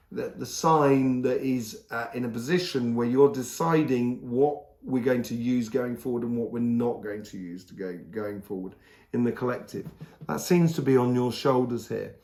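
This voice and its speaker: A hoarse man giving a casual, rambling tarot reading, full of fillers and restarts. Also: mid-range voice, a little mellow, reverberant. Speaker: tarot reader.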